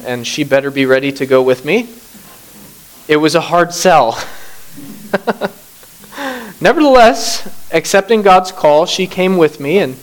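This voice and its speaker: A man's voice: fairly high pitch, poor audio. A middle-aged man who grew up in Bangladesh, preaching a sermon with scripture reading and prayer in an earnest manner that rises to emphasis. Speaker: pastor.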